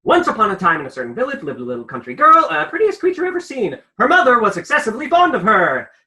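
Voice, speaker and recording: An actor playing a fussy, pompous storybook narrator, with theatrical, precise delivery in a comic radio play. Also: male voice, sits high, wildly swooping pitch, fast, bright, forceful tone, exaggerated voice. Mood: formal, flustered.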